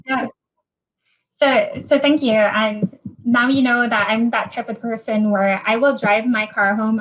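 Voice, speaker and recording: A woman from Hawaii with a Hawaiian accent, giving a technical webinar talk over a video call, often pausing and repeating words as she explains. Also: fairly high pitch, a bit bright, rough recording. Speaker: presenter.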